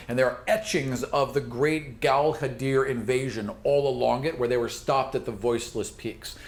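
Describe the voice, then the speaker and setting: A man running a tabletop role-playing game, describing things in an informal, off-the-cuff way with casual asides. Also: medium pitch. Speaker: game master.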